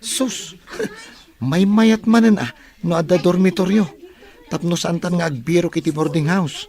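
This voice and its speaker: A man's voice: high voice, sing-song, measured pace, a little mellow, heavily acted. A man playing an eager, friendly young student in an audio drama.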